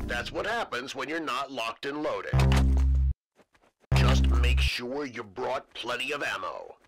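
A smug, grandiose trailer announcer acted by a man for a game voice pack. He takes his time, swooping dramatically through each deliberate line over loud music. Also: on the low side, heavily acted.

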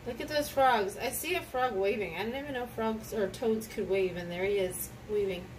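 A woman's voice: medium pitch, moderate pace. A woman chatting casually through a picture book read-aloud with a child, exclaiming and prompting with questions. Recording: distant mic with clear background noise.

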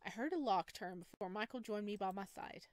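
A woman narrates a visual novel as a streamer would, reading expressively. Her pitch sits in the middle range for a woman. The sound is close and dry. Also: a little fast, no room sound.

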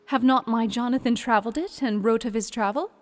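A man voicing an entranced young woman, speaking in a distant, hushed, otherworldly way in an audio drama reading. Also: extremely high pitch, brisk, in a large hall, pitched above the performer's own voice. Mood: trancelike.

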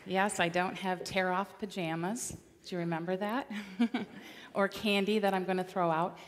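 A middle-aged woman from Michigan giving an animated, storytelling chapel talk on a large stage. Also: lowish voice, moderate pace, a crowd in the background. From Michigan, USA. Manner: animated, gesturing while walking the stage. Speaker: chapel speaker. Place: large dark auditorium stage. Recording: long hall reverb.

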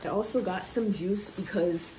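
A woman's voice: mid-range voice, normal speed, warm tone, a little hiss or hum, poor audio. A young woman vlogging a grocery haul at home in her kitchen, talking casually with slang and rambling asides. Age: young adult.

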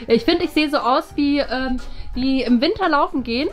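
A woman's voice: high-pitched, moderate pace, soft background music. A young woman co-hosting a casual vlog, chatting indoors into a handheld camera. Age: young adult. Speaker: YouTube co-host. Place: indoor office rooms.

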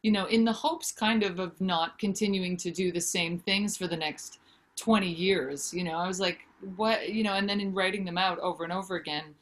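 A woman's voice: lowish voice, moderate pace. Candid woman guest on a video call. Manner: candid, animated, gesturing with hands. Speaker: guest, singer-songwriter and author. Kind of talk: casual interview chat. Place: home room with brick wall.

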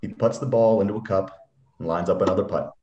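A man's voice: fairly low pitch, quick pace, warm tone, lo-fi sound. A man reading scripted stage directions as narrator, recorded over a video call. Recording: roomy home video-call audio.

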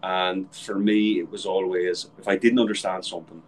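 Man, very deep voice, faint room hum, casual cursing chat, video call. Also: fairly even intonation, normal speed, low-quality recording. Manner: chatty, swears casually. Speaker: voice actor guest. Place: home room with shelves, indoors.

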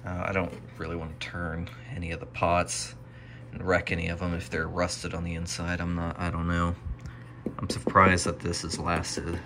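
An American man narrating his hobby restoration work casually and unscripted, musing out loud as he goes. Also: low voice, monotone, moderate pace. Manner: musing, thinking aloud. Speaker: hobbyist radio restorer presenting a video. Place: small indoor home workshop. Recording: faint room noise.

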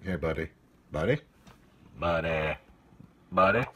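Very deep, slow-talking man, noisy recording. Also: fairly bright tone, breathy edge. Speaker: amateur product unboxing vlogger. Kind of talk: casual off-the-cuff chat while handling gadgets. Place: indoors at a table. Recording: noisy home phone-camera recording, device clicks.

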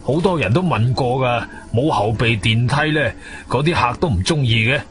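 A man's voice: medium pitch, normal speed, a bit bright, close to an everyday voice. A male actor playing an elderly, grumbling, uneasy building caretaker in a Cantonese radio drama, hemming and hesitating. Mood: hesitant, puzzled. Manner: hesitant, mumbling with many hems and sighs.

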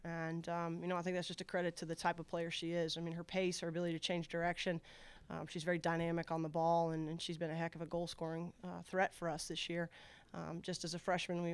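A middle-aged woman coach answering press questions after a game at a table mic, steady and measured, with many filler words. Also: lowish voice, clean tone, dry recording. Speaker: head soccer coach. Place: press conference room at a table. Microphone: table microphone.

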